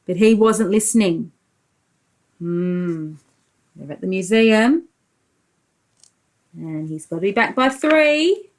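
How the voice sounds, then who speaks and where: A woman's voice: mid-range voice, expressive, slow, pauses often. A woman preschool teacher reading a storybook aloud to young children, expressively, explaining as she goes and putting questions to the listeners.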